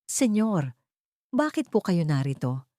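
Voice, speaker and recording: A weak, exhausted poor woman, as a female narrator puts her on in an audiobook romance. She speaks in a halting, deferential way with wounded dignity. The recording is dry and close. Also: on the low side, very animated, mellow, no echo. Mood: weak, bitter.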